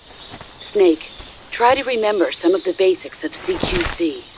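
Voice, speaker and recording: An actress playing a composed veteran soldier in a video game, a firm, supportive mentor who instructs in a measured, assured way. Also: female voice, fairly low pitch, animated, noisy background. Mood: instructive, firm. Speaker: combat mentor.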